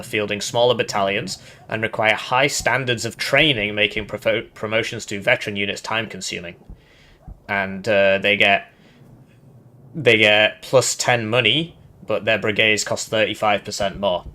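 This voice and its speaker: Nasal low-voiced man streaming. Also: sharp tone. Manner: reads game text aloud with casual asides. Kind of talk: live gameplay commentary.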